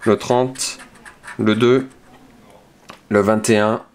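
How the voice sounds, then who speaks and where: A man slowly works through scratch cards, reading each number aloud in a low, unhurried voice. He mutters softly and checks line by line, with the scratch of the coin behind him. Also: steady tone, clean tone. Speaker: scratch-card video host. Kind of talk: casual play-by-play while scratching tickets.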